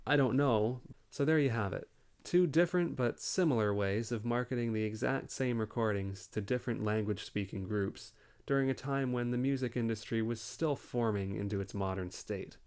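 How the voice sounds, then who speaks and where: A man presenting as a collector in an informative explainer style, measured and articulate. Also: medium pitch, a little mellow, clear, resonant tone. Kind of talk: informative explainer to camera or over shown records.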